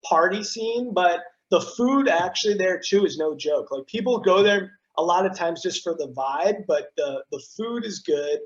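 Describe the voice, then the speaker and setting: Guest in his thirties, high voice, chatty and slangy, muffled video-call sound. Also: moderate pace, hardly any pauses. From US East Coast. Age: 30s. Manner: animated storyteller, lots of fillers and slang. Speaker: TV news broadcaster and guest. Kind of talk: casual interview chat.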